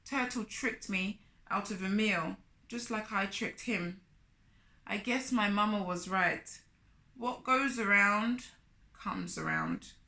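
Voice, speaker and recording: A woman's voice: mid-range voice, slow, sharp tone, echoey room. A woman voicing an eager, scheming male spider who gets flustered easily, for a children's storybook. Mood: rueful.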